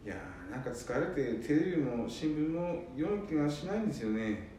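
A man's voice: very animated, moderate pace, mellow, natural voice. A man playing a weary, dutiful eldest son with a Nanbu accent in an amateur radio drama. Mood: evasive, tired. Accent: Nanbu dialect of northern Japan. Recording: very echoey, distant mic, faint rumble.

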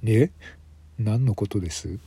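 A man's voice: on the low side, slow, warm tone, slightly rough, exaggerated voice. A man playing a polite, earnest young suspect in a radio drama, devoted and unrepentant. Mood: puzzled.

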